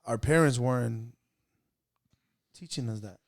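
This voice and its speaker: A young man hosting a podcast, talking in an animated, slangy way with quick interjections. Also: medium pitch. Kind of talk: casual chat.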